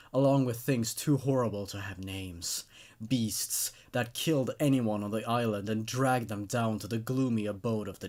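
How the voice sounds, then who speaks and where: A man reading as the fearful, grave narrator of a horror story, hushed, somber and measured. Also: lowish voice, voice pushed down, natural voice.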